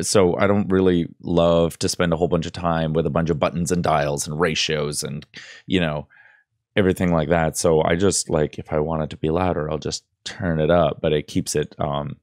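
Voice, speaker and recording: A man's voice: deep voice, darkish tone, no echo. A young man hosting a casual audio tutorial, rambling with many fillers and restarts, close to a studio microphone in a small room. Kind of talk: casual screen-recorded tutorial. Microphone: studio microphone close up, headphones on.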